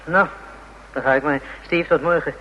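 Actor as sceptical male sidekick, somewhat high voice, radio crime drama, faint hiss. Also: fairly even intonation, normal speed, muffled recording, close to an everyday voice. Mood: wry, resigned. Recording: faintly noisy old radio recording.

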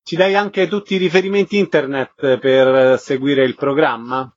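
Dry, slightly muffled recording, man, radio interviewer, presenting delivery, medium pitch. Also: fairly even intonation, moderate pace, no room sound. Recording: slightly dull, lo-fi sound.

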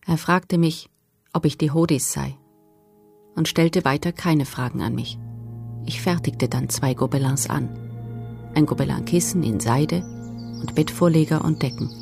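A composed woman prisoner recalls camp life for a radio documentary drama. Her voice is very low. She speaks soberly and with measure, over faint music. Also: a little slow, creaky, long pauses, a little mellow. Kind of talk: dramatised testimony reading.

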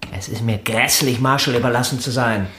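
An actor playing a bitter, stubborn invalid husband in a radio drama, peevish and curt. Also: male voice, medium pitch, fairly even intonation, fairly quick, bright, forceful tone, roomy sound, a little hiss or hum, close to an everyday voice. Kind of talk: German radio play acting.

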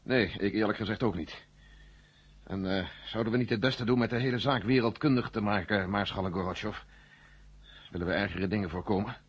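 An actor in a 1970 Dutch radio play, playing a grave, weary general who gives clipped commands from mission control. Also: male voice, lowish voice, brisk, slightly rough. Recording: dull, very noisy old radio recording.

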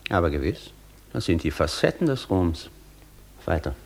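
An actor playing an imperious, easily irritated scholar in a German radio play, lecturing with pompous condescension. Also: male voice, low voice, sing-song, moderate pace, vocal fry, exaggerated voice. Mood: pompous, amused. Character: imperious, vain old scholar Faust, easily irritated, dictating.

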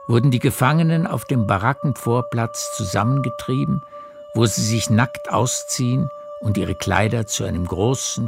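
Low, creaky male narrator over music. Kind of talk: scripted literary narration in a radio play. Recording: music behind the voice.

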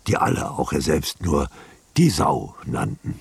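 A man with a very low, creaky voice narrates a radio drama. He tells the story with hugely varied intonation, over faint music. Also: very animated, a little slow, dry recording.

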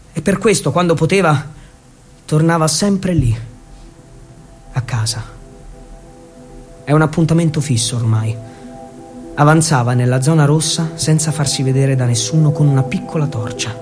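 High-voiced theatre storyteller, a man. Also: moderate pace, long pauses, faint music behind. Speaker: actor and storyteller. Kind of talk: scripted theatrical narration, dramatic monologue.

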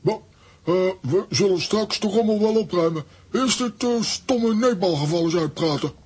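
An actor playing a blustering, stubborn, indignant man with a husky voice in a children's audio drama. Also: high-pitched, moderate pace, a little mellow. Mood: grumbling. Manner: blustering, exclamatory. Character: Lambiek, blustering, stubborn, indignant grown comic-strip man.

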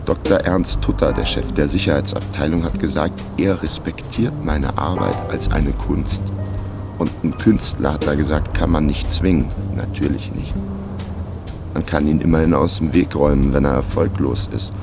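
A man's voice: a bass voice, moderate pace, creaky, music in the background. An actor playing a wry, laconic, down-on-his-luck man of thirty in a radio crime drama. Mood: wry, brooding. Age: 30s. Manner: laconic, sardonic. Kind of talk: radio crime drama first-person narration.

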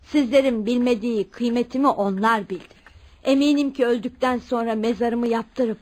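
An actress in a radio play playing a wealthy elderly aunt, stubborn, haughty and firm. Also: female voice, on the high side, fairly even intonation, normal speed, darkish tone, lightly acted. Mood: stern, resolute. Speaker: radio drama actor. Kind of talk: Turkish radio theatre acting.

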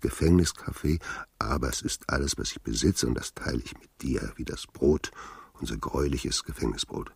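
An actor playing the ghost of a dead young doctor, a lover speaking with insistent, haunting delivery in a radio drama. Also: male voice, moderate pace, dry recording, exaggerated voice. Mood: insistent, fervent. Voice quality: very deep, gravelly, husky whispery rasp. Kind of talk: literary radio drama acting.

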